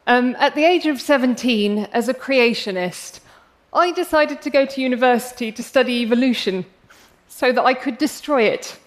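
English woman, young, passionate speaker, slightly high pitch, wry humor. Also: normal speed. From England. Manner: passionate, self-deprecating humor. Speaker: speaker giving a talk. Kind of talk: prepared public talk.

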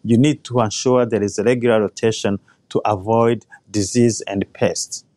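A man's voice: fairly low pitch, fairly even intonation, a little mellow, no room sound. Earnest man. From Rwanda. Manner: earnest, measured, personal storytelling. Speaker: crop scientist giving a talk. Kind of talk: prepared talk.

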